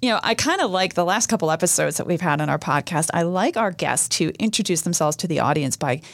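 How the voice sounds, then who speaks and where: A woman's voice: on the low side, expressive, fast, vocal fry, no room sound. A woman hosting a podcast, interviewing a guest in a friendly, upbeat way. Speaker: podcast host.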